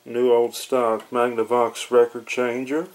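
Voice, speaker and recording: A man narrating casually and off the cuff, thinking aloud in a halting way as he tinkers, on a camera microphone in a small room. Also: mid-range voice, level tone. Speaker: hobbyist demonstrating a reel-to-reel tape recorder. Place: small cluttered room at home, indoors. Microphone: camera microphone near the equipment. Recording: noisy camera audio with clicks.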